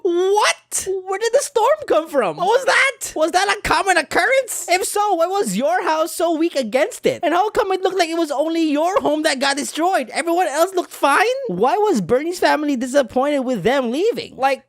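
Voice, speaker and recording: Exasperated, animated woman ranting commentary. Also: very high voice, normal speed, no room sound, clean studio sound. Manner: exasperated, sweary, informal, rhetorical questions. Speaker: video essayist reviewing an animated film. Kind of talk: sarcastic, ranting film commentary.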